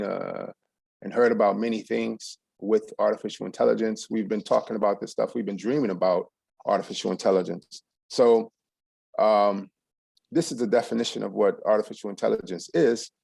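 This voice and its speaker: A man's voice: fairly low pitch, gravelly, darkish tone, dry recording. A middle-aged man from Texas, giving a loose, rambling presentation to an audience with plenty of ums and restarts. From USA, San Antonio, Texas.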